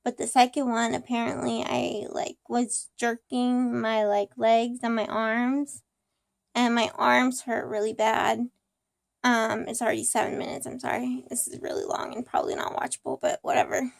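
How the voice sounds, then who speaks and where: A young woman with a cold, hoarse, rambling about her day in a casual personal vlog with many ums and restarts. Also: on the high side, flat delivery, moderate pace, no echo. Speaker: vlogger.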